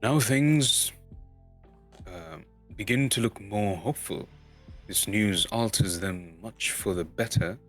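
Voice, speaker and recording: A man's voice: lowish voice, takes it slowly, fairly bright tone. A man voicing a proud, doubtful dwarf leader in an amateur storybook reading, grave and skeptical. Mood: cautiously hopeful. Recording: music under the voice.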